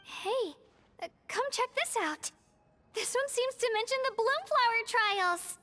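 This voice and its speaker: An eager young girl from a video game, with an extremely high voice. The manner is earnest and slightly hesitant, over faint music. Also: lively intonation, unhurried, sharp tone, exaggerated voice. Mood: curious, earnest.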